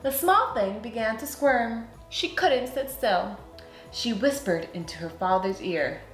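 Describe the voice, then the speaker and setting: Lady narrating a kids book, lively kinda high voice, bit echoey w music under. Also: unhurried. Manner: expressive, clearly phrased. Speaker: read-aloud host. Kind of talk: children's storybook narration. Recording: roomy sound, music underneath.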